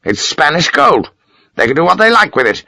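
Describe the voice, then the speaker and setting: A man doing a gruff, barking old major. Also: fairly high pitch, lively intonation, moderate pace, bright, forceful tone, dry recording, voice pushed up, exaggerated voice. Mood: indignant. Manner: curt, barking, self-important. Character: gruff pious older male army major. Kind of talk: audiobook character voice.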